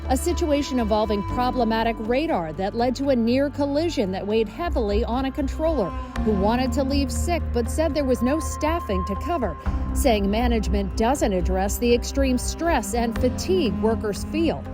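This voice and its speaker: A woman with a fairly high voice reads a scripted investigative news report. She speaks as a TV reporter, and music plays clearly behind her narration. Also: expressive, normal speed.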